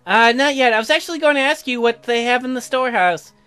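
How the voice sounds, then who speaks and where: A gentle, anxious young colonist wife, voiced by a man with a raised pitch. She speaks earnestly and a little hesitantly in visual novel dialogue, with music behind. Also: quick pace, smooth. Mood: gentle, polite.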